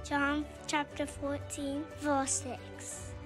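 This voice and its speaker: A woman speaking simply and deliberately in a scripted style for a children's show. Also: high voice, completely flat delivery, over music. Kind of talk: scripted children's show announcement and verse recital.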